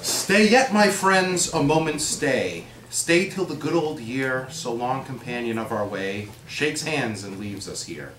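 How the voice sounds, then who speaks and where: A man's voice: mid-range voice, lively intonation, a little slow, hardly any pauses, distant mic. A man at a live reading, speaking theatrically with phrases that rise and fall. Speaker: reader at a readers theater event. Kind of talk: expressive live poetry recitation and introduction. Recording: echoey room, off-mic, faint noise.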